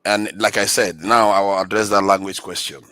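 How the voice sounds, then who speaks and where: A man giving an argumentative rebuttal in a debate, deliberate and insistent, making his case point by point. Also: lowish voice, flat delivery, pushed and bright, dry recording.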